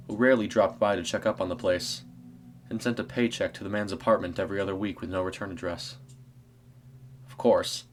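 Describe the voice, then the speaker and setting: A man reading a scripted eerie story as narrator, in a measured, dramatic storytelling manner. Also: lowish voice.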